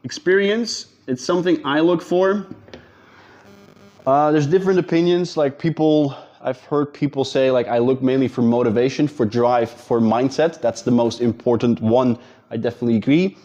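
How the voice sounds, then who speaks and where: Dutch-accented male coach, informal straight talk, warm-dark voice, a little lo-fi. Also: mid-range voice, moderate pace. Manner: direct, informal, addresses viewers as guys. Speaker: business coach. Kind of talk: casual talking-head advice video.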